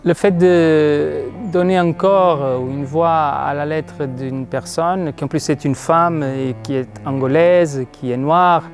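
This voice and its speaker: A man's voice: fairly high pitch, steady tone, slow, few pauses, faint music behind. A man whose first language is Portuguese, speaking in an interview about reading a letter on stage, hesitant and searching for words.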